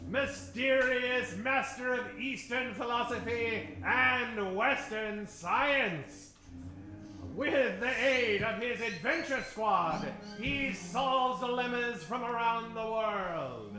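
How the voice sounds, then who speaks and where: A man's voice: very high-pitched, lively intonation, slow, echoey room, over music, low-quality recording. A man hosting a live radio-theater show, announcing in a grandiose, theatrical old-time radio style. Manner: grandiose showman delivery. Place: live stage before an audience.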